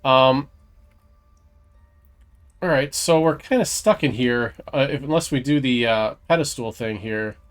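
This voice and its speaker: A man's voice: medium pitch, measured pace, long pauses, faint music behind. A man giving casual commentary on a video game he is playing, sometimes reading game text aloud.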